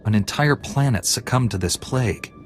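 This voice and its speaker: An actor playing a confident, direct and helpful knightly hero in a sci-fi video game. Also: male voice, medium pitch, moderate pace, faint music behind, natural voice. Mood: resolute. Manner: direct, resolute. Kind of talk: video game dialogue acting.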